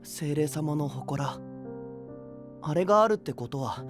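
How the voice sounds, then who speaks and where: 海の国を守る若い青年を演じる男性声優。柔らかく内省的で、真摯な話し方。. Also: male voice, on the high side, lively intonation, measured pace, over music. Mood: pensive. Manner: soft, introspective, earnest. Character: gentle young male prince of a sea kingdom. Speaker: voice actor. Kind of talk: anime voice drama.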